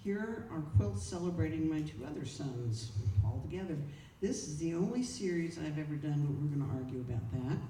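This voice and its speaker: A woman's voice: low-pitched, animated, fairly quick, hardly any pauses, dark tone. A woman presenting at a club meeting, reading a prepared speech aloud with informal asides. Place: indoor meeting room, speaking to a group. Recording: roomy, distant mic, clear background noise.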